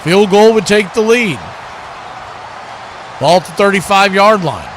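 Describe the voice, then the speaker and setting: Male football play-by-play host, high voice, live stream with clear background noise. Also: expressive, unhurried, a bit bright. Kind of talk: live American football commentary.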